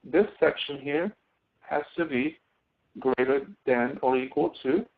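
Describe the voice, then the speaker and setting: Guy teaching software online, kinda halting step by step walkthrough, tinny phone-line sound. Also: mid-range voice. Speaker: instructor. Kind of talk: live online software lecture with screen demonstration. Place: online screen-share session. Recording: thin phone-like audio.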